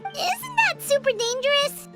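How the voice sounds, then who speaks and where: A woman voicing a tiny, childlike fairy girl companion in a fantasy game, squeaky, excitable and anxious. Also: unhurried, faint music behind, full character voice. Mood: alarmed, worried. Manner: excitable, refers to herself by name.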